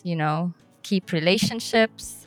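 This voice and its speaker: A woman giving a reflective personal interview as a guest, speaking in a thoughtful, halting way. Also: lowish voice, no echo, soft background music. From Middle East and North Africa. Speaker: interview guest, environmental peace-building director.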